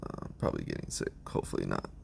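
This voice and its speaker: A hoarse man with a raspy voice that is giving out, giving a casual, rambling breakdown of his team with lots of ums and restarts. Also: very fast, a little hiss or hum. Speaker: video creator explaining his team.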